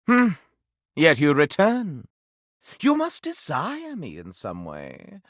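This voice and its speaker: A man's voice: on the high side, pushed and bright, no room sound, studio quality, full character voice. A man voicing a flamboyant, haughty living candelabra in a video game, a scandal-loving gossip who declaims in florid, melodramatic style. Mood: haughty, coy. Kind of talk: theatrical video game character acting.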